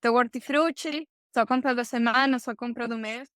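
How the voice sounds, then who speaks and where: High, clear woman. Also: normal speed, a bit bright, dry recording, clean studio sound. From Argentina, Buenos Aires. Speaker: podcast guest, supply chain professional. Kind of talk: conversational interview answers.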